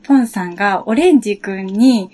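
A woman's voice: mid-range voice, normal speed, warm tone. A woman speaking as a guest in casual chat, hesitantly piecing her thoughts together. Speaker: talk show guest.

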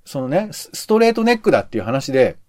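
A man's voice: medium pitch, very animated, fast, a little mellow, no room sound, studio quality. A man co-hosting a podcast, giving conversational commentary on radio shows and thinking aloud as he goes. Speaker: podcast co-host.

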